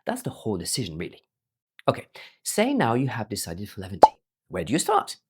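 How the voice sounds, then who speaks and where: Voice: medium pitch, wildly swooping pitch, brisk, breathy edge. A language teacher who learned Arabic as a foreign language, giving an emphatic scripted explainer. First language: not Arabic, learned it as a foreign language. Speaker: language teacher presenting their own learning platform.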